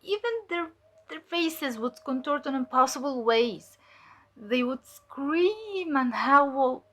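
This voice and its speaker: A woman playing a fearful, guilt-ridden cult infiltrator giving halting, emotional testimony in an audio drama. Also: sits high, expressive, fairly bright tone, full character voice. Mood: disturbed, horrified.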